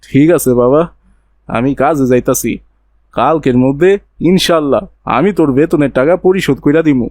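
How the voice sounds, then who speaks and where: A man's voice: on the high side, normal speed, a little mellow, no echo, studio quality, close to an everyday voice. A man playing a poor, devoted cobbler father in a moral cartoon, with a rural Bangladeshi accent and an earnest, tender manner. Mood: protective, regretful. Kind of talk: Islamic moral cartoon dialogue.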